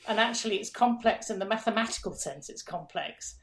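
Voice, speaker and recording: A woman's voice: mid-range voice, lively intonation, fairly quick, a bit bright. A woman being interviewed as the executive director of a food council, explaining in a frank, emphatic way. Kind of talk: interview answers.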